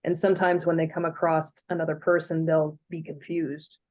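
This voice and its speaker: Flat-voiced woman Spanish teacher. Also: deep voice, normal speed. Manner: explains with examples. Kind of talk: sharing in a group discussion. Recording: dull lo-fi sound.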